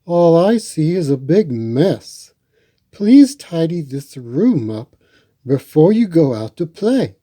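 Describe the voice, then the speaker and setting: Guy doing a storybook turtle mom, a bit scolding, voice pitched up, soft edged. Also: very animated, takes it slowly, exaggerated voice. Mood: mildly stern. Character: tidy-minded turtle mother, mildly scolding.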